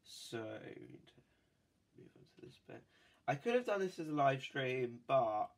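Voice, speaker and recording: A man with a cold, chatting casually and rambling with many fillers while building a toy set at a desk. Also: medium pitch. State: has a cold. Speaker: toy-building video host. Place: indoors at a desk.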